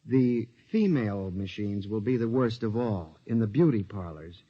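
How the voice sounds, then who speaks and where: Feverish paranoid clerk, earnest and insistent, swooping delivery, muffled old radio-play sound. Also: male voice, fairly low pitch, animated, normal speed. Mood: agitated, pleading. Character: agitated male psychiatric patient, a paranoid clerk pleading. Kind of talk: 1950s radio drama acting. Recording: muffled vintage 1950s radio recording.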